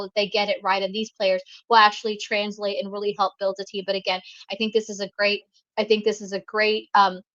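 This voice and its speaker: A woman's voice: mid-range voice, a bit bright, no room sound, highs cut off. A woman co-hosting a college football show, chatting with many fillers and restarts. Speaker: co-host. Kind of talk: sports talk commentary.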